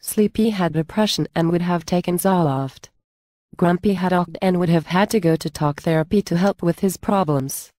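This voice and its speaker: A woman's voice: lowish voice, normal speed, darkish tone, no room sound, studio quality. A woman reading scripted narration for a storybook tale.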